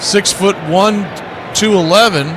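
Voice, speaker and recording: Male baseball announcer, high voice, folksy showman who chats with listeners between pitches, noticeable background noise. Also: unhurried. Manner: folksy showman, stock catchphrases and jokes. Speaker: baseball play-by-play radio announcer and stream host. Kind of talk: baseball play-by-play commentary with chatty asides to viewers.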